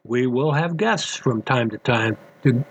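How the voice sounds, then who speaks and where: Older man, from Mexico, podcast host, measured rhetorical questions, middle pitch, light background noise. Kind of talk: scripted podcast monologue.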